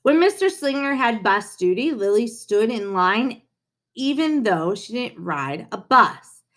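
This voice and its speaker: A woman reading a children's storybook aloud in an expressive way, with dramatic pauses and playful touches. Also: fairly high pitch. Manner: animated, unhurried, dramatic pauses, playful asides.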